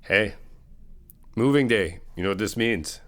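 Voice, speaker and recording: A middle-aged man in an ASMR friend roleplay, talking in an easygoing, reassuring, chatty way with soft laughs. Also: lowish voice, expressive, measured pace. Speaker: ASMR roleplay creator playing a best friend.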